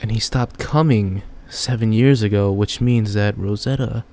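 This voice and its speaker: A man's voice: lowish voice, a little slow, a little mellow. A young man playing a game casually, reading its text aloud and joking about it. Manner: chatty, reads text then jokes about it. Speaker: gamer.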